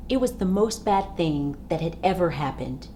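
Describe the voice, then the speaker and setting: A woman reads a children's storybook aloud in an expressive, emphatic way, with dramatic phrasing. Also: on the low side, normal speed, a little hiss or hum. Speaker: storybook reader.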